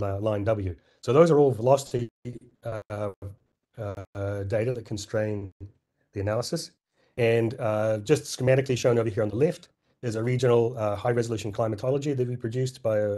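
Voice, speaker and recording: Man, hoarse, throat-clearing, halting with fillers, mellow dark tone, conference talk. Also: fairly low pitch. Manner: halting, many fillers and restarts. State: losing his voice, clearing throat. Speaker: conference presenter.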